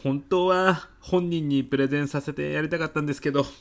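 A man's voice: fairly quick, natural voice. A man acts out a middle-aged male office manager in a comedic radio drama. He speaks in polite business language with an earnest, theatrical delivery. His presenting style borrows the girlish manner of a young woman. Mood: polite, businesslike. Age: 50s. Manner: polite business speech, theatrically expressive pitching. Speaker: ad agency department manager giving a presentation.

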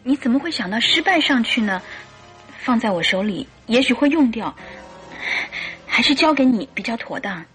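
A gentle young wife in a radio drama, trusting yet guarded. Her voice sits fairly high, with faint music behind it. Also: expressive, normal speed. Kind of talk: Chinese radio drama acting.

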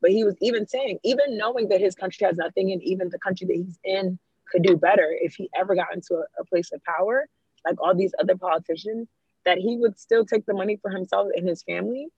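A young woman from Ghana is the guest in a casual talk. She tells her stories with energy and acts out what other people said. Her voice is husky, the recording is dry and a little muffled. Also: medium pitch, fast, no echo. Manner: animated storyteller, quotes people in dialogue. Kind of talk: casual discussion. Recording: muffled, slightly lo-fi.

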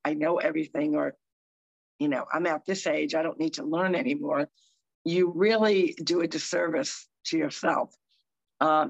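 A woman co-hosting a live talk show over a video call, hoarse and scratchy, chatting in an upbeat, encouraging way. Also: sits low, lo-fi sound. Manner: upbeat, encouraging, rambling asides. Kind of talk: casual motivational chat.

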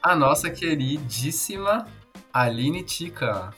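A man's voice: a little high, lively intonation, slow, sharp tone, husky, faint music behind. A man in his thirties with a Brazilian accent, chatting casually and jokingly as a podcast co-host. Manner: playful, joking. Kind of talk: casual chat.

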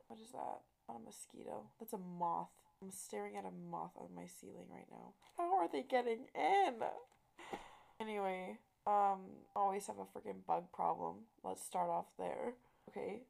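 Hoarse, gravelly young woman podcaster. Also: medium pitch, dark tone. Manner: chatty, rambling, laughs at herself. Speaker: podcast host. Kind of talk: casual solo podcast chat.

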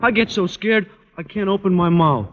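An actor playing a naive, slangy American high-school athlete, earnest and lovesick, in an old-time radio comedy. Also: male voice, normal speed, close to an everyday voice. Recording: dull old radio recording, hall reverb, hiss.

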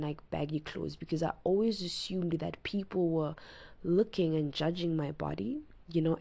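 Low raspy woman, hushed late-night talk. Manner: hushed and soft-spoken, often near a whisper; rambling, with constant "you know" and "like". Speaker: podcast host. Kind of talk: casual personal chat. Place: home at night, lying in bed.

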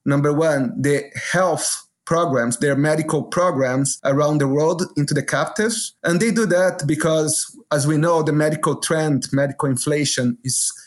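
A middle-aged man from Brazil speaks as an executive guest on a podcast. His voice sits a little higher than most men's as he gives his interview answers. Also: moderate pace, few pauses.

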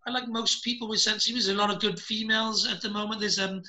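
Welsh male host, high and very bright voice, rambling with many ums, video call. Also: steady tone, very fast. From Wales. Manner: rambling, hesitant, many fillers and restarts. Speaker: podcast host. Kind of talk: casual video-call chat.

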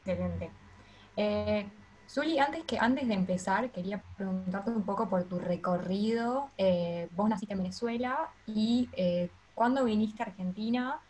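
A woman's voice: mid-range voice, a little slow, darkish tone, rough recording. A woman with a Rioplatense accent hosting a podcast, introducing co-hosts and a guest in an easygoing way. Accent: Rioplatense Spanish. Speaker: podcast host.

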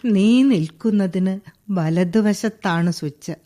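Radio play: actress as strict old lady, very slow drawl, soft dark tone, bossing a thief around. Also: mid-range voice, slight background noise, natural voice. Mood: stern. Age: older. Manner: slow, commanding and scolding. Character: stern elderly woman catching a burglar. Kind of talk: 1980s-style radio play acting.

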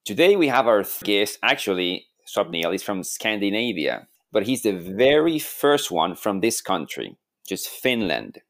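Low-voiced male co-host, wry, jokey intros. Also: sing-song, measured pace, few pauses, fairly bright tone. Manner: wry, joking guest introduction. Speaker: podcast co-host. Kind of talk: podcast interview.

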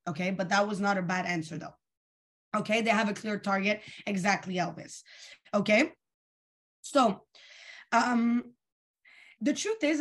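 Energetic woman coaching with a tired voice. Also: mid-range voice. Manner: energetic, asks the audience questions. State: tired, slightly worn voice. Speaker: coach leading a weekly training call. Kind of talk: live online coaching session.

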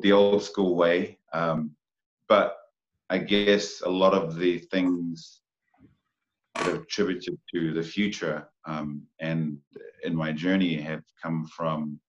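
A low-pitched man with a New Zealand accent talks casually as an interview guest. He speaks haltingly, restarting his sentences and filling gaps with ums. The sound is dull and lo-fi. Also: fairly even intonation. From New Zealand, Samoan-born. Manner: halting, many fillers and restarts. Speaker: guest, film actor.

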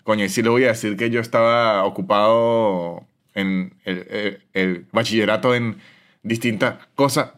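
A man in his thirties from Venezuela with a Venezuelan accent, hosting a casual solo podcast and reading ads in a chatty, animated way. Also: on the low side. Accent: Venezuelan Spanish. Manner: chatty, animated, swings between loud and soft. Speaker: podcast host.

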